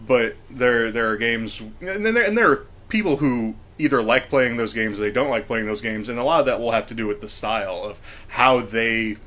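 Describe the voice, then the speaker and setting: Bright-voiced man in casual podcast discussion. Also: medium pitch, moderate pace, hardly any pauses. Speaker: podcast co-host.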